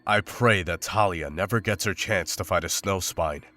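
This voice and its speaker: A man playing a grim, war-weary monster hunter in a visual novel, speaking in a solemn, formal, measured way. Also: deep voice, bright, forceful tone, faint music behind. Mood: grave, reflective. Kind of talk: visual novel voice acting, dramatic journal narration and dialogue.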